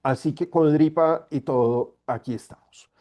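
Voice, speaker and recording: A middle-aged man speaks over a video call, congested from a cold. He lays out his points in a measured, explanatory manner, with a mellow, dark voice. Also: fairly high pitch. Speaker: guest speaker, environmental lawyer. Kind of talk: online talk.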